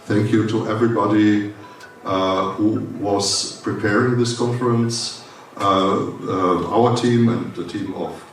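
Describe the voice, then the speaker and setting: A man from Germany with a German accent, giving a formal welcome speech at a conference, pausing often with fillers and restarts. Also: lowish voice, steady tone, recorded from a distance. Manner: halting, many "uh" fillers and restarts. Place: conference hall. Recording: echoey hall, speaker well off the mic, faint hiss.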